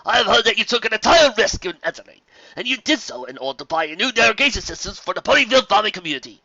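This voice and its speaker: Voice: medium pitch, sing-song, racing, bright, forceful tone, no room sound, voice pushed up, heavily acted. A reader doing a courteous aristocratic stallion. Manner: courteous and gracious. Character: polite, well-meaning aristocratic stallion. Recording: dull lo-fi recording.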